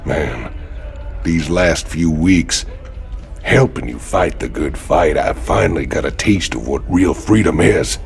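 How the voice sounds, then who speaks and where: An actor playing a cynical, hulking outlaw space marine in a video game, with a gruff, sneering drawl. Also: male voice, animated, a little slow, full character voice. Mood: weary, bitter. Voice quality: very deep gravelly voice. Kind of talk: video game cinematic acting. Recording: loud music behind.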